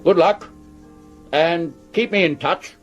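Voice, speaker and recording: An actor playing a brusque, pompous Victorian police commissioner with an upper-class English accent in a radio drama. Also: male voice, a little high, slow pace, lo-fi sound, soft background music. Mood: brisk command. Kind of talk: 1970s radio drama acting.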